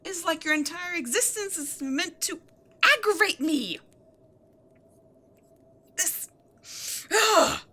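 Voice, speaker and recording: Haughty, slow-speaking demon queen played by a woman. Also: very high voice, lively intonation, sharp tone, exaggerated voice. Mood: exasperated, angry. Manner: theatrical, breathy asides, haughty. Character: imperious demon queen, seductive, gloating, easily exasperated villain. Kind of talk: scripted ASMR audio roleplay addressed to listener.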